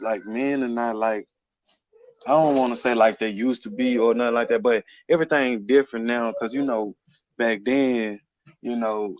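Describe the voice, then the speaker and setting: A man's voice: medium pitch, no echo, dull audio. A man in casual podcast conversation, speaking informally with slang and often repeating his phrases.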